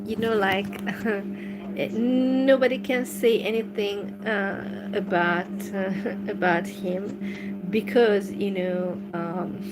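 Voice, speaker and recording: A woman with a non-native English accent chatting on a live stream, hesitant and full of fillers, breaking into soft laughs. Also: medium pitch, steady tone, slow. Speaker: livestream host. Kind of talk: casual live-stream chat, reading messages. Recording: noisy background.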